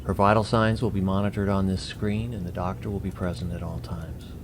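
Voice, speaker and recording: A man's voice: sits low, flat delivery, brisk, lightly acted. A man playing a courteous, composed alien envoy in a science fiction audio drama, formal and precise in speech. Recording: clearly noisy audio drama track.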